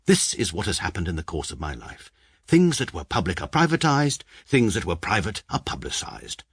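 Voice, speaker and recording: An actor playing a pedantic, self-mocking young barrister who narrates a comic radio drama in a deadpan, precise manner. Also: male voice, low voice, moderate pace, husky, dry recording, full character voice. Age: young adult. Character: dry, pedantic male barrister narrating his life.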